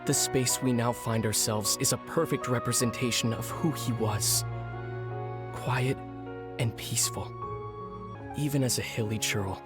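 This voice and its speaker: An actor playing a solemn, weary young man, a long-lost twin brother in a game, who speaks softly and gravely in measured lines. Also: medium pitch, lightly acted. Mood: solemn, reflective. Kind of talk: scripted game voice acting. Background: background music.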